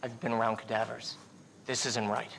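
An actor playing an uneasy junior morgue worker with wry quips in a video game cutscene. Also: male voice, medium pitch, level tone, normal speed, vocal fry, a bit bright, a little hiss or hum.

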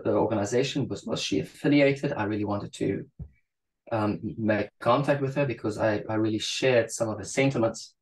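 South african guy on a video call, lowish voice, thoughtful, restarts a lot. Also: darkish tone, rough recording. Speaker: psychologist guest. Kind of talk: interview over video call. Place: small room indoors. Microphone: video call webcam.

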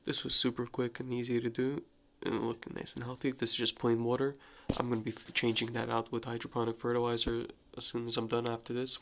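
Male host, stuffy from a cold, flat even delivery, relaxed halting how-to talk over a faint running tap. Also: medium pitch, a little hiss or hum. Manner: relaxed, halting, with restarts and self-corrections. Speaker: hydroponics gardening channel host. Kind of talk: casual how-to demonstration. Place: home kitchen at a running sink. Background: running tap water.

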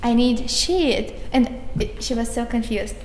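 A young woman from Russia speaking English with a Russian accent, a student being interviewed in a lively, laughing manner. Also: a little high, measured pace. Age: young adult. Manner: animated, laughing, gestures a lot. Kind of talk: casual interview. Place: college lounge by large windows. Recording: echoey room with long reverb and faint hiss.

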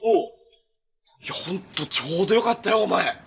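A man's voice: high voice, lively intonation, fairly quick, vocal fry, fairly bright tone, a little hiss or hum, full character voice. A young man acting an upbeat, kind-hearted adventurer and hero in a live-action TV superhero drama, speaking in an earnest, friendly, boyish way. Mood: cheerful, casual. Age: young adult.

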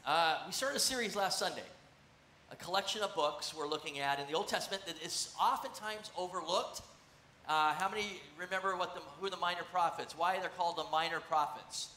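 A middle-aged man delivering a sermon with animated, conversational energy, his voice high and bright. He speaks on a church stage, and the sound carries a roomy echo with a faint hiss underneath. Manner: animated, conversational, gesturing while seated on a stool. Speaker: pastor. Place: church stage, large room. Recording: echoey church room, faint noise.

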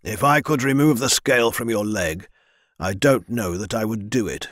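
A man voicing a stern, powerful lord who speaks in a measured, formal way. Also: on the low side, fairly bright tone, dry recording, clean studio sound.

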